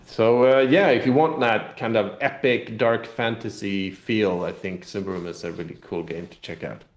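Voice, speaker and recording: A man's voice: fairly low pitch, normal speed. A man speaking as a game designer in a casual interview, explaining things and often repeating words as he goes. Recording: roomy echo.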